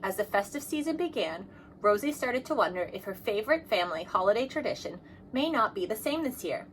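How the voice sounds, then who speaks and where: A woman narrates a children's picture book aloud. Her voice sits at an average pitch and she reads expressively. There is noticeable noise behind her. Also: a little fast, poor audio. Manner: expressive, acts out dialogue. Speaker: storybook reader.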